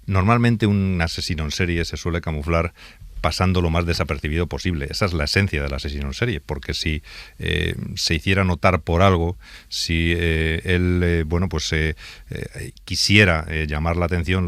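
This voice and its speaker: Deep-voiced Spanish police inspector, hesitant. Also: male voice, fairly bright tone, no echo. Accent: Spain. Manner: hesitant, many fillers and restarts. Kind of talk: interview answers.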